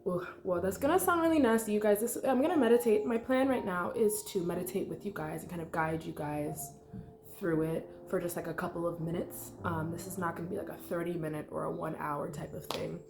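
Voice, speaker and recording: A woman's voice: lowish voice, a little fast, noisy background. A congested young woman talking casually through a meditation, rambling with lots of likes and ums. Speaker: meditation vlogger. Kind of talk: casual unscripted guided meditation talk. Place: her apartment. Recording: echoey room with faint music.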